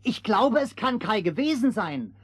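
A woman playing a chattering, friendly forest crow with croaky, repetitive speech in a fairy-tale audio drama. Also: a little high, big pitch swings, moderate pace, no room sound, studio quality. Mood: eager. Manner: croaky, repeats phrases.

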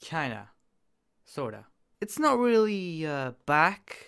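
A young man talks over his gameplay from a desk setup, his voice gravelly and bright. His pitch swings widely, and he jumps between loud excited bursts and quiet mumbles. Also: takes it slowly. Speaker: gaming YouTuber. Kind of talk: casual gameplay commentary.

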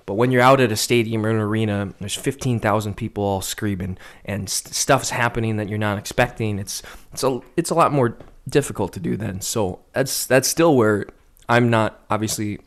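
A man's voice: on the low side, moderate pace. A young man and sports broadcaster, talking through a video call interview in a thoughtful way with many fillers. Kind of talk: casual interview chat. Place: home room. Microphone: studio condenser mic on video call.

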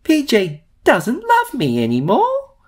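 Storybook girl bunny, performed by a man: high, whiny, excitable, very animated, sensitive youngster. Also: takes it slowly, exaggerated voice. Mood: upset wail. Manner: exclaiming, dramatic.

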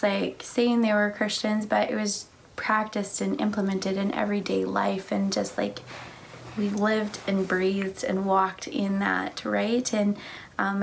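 A young woman with a mid-range voice and a noticeable gravelly edge. She talks easily and chattily, with frequent "like" and "right?". She is recorded on a couch in a living room through a camera mic a little way off. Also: medium pitch, slight background noise. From Canada and the United States. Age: young adult. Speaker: church speaker. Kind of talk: casual conversation about faith.

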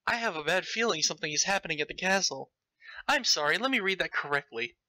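A man with a very bright, forceful, fairly high voice reads a story aloud in a theatrical, mocking way. He drops in his own comic commentary, and the sound is close and dry. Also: expressive, fast talker, no echo, low-quality recording. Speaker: host reading fan fiction aloud. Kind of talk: comedic dramatic read-aloud with commentary.

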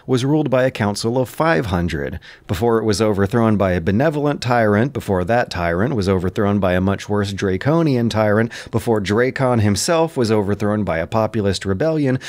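A man's voice: mid-range voice, no echo. A man narrating scripted explanatory commentary in the manner of a history lecture.

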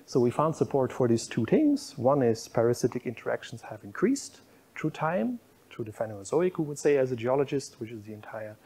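Man lecturing, mellow husky voice. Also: medium pitch. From Belgium. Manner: explanatory, pointing at slides, frequent fillers. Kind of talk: university public lecture. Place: lecture room.

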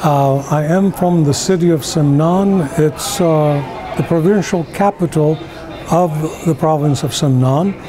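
A man's voice: fairly high pitch, unhurried, a crowd in the background. An older man from Iran with an Iranian accent, telling his life story to the camera at a conference. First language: Persian. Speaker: interviewee. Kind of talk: personal recollection to camera. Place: indoor conference venue.

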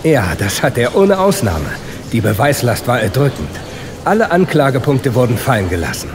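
A man acting a polite, grateful, freshly freed man in a German audio drama, courteous and measured. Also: mid-range voice, big pitch swings, background noise, lightly acted. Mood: relieved, grateful.

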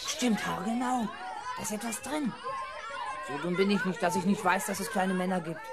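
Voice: mid-range voice, steady tone, moderate pace, vocal fry, a loud crowd, heavy background noise, close to an everyday voice. An actor playing a plucky, naive, boastful little schoolboy in a 1970s children's radio play. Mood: eager bargaining. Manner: boastful, emphatic.

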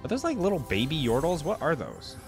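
A man's voice: fairly high pitch, wildly swooping pitch, fairly quick, gravelly. Casual young man reacting, music behind. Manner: casual, often reading on-screen text aloud. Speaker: reaction video host. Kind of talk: casual live reaction commentary. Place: indoor gaming setup. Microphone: desk microphone close to the speaker. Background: game video music.

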